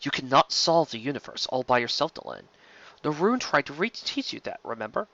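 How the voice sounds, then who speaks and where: A man voicing a stern, commanding older alien mentor in a science fiction story, measured in manner. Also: mid-range voice, sing-song, gravelly, fairly bright tone, no echo, dull audio, full character voice. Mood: grave, chiding.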